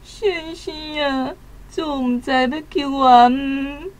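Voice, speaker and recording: An actress playing an earnest, emotional old wife in a radio drama, with a Taiwanese accent. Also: female voice, high voice, slow. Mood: anxious, pleading.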